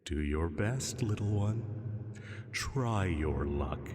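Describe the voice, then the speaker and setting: Casting: male actor as ancient predatory dragon, low-voiced, sly and condescending, heard in long cavernous reverb. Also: expressive, a little mellow. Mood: playful menace. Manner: slow, condescending, theatrical, drawn-out taunting. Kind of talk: scripted roleplay audio drama monologue.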